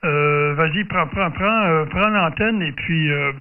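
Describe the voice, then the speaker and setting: A man's voice: fairly high pitch, unhurried. A man with a Quebec French accent chatting casually as he runs an amateur radio contact, hesitant and rambling, often repeating himself. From Quebec, Canada. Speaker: amateur radio operator running a group contact. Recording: muffled distant lo-fi radio audio.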